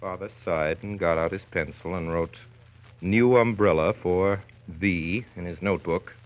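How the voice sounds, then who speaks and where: An actor playing a grown son narrating family memories, wry and measured, in 1930s radio style. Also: male voice, low-pitched. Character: grown son wryly recalling his domineering father. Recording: dull 1930s radio recording with music.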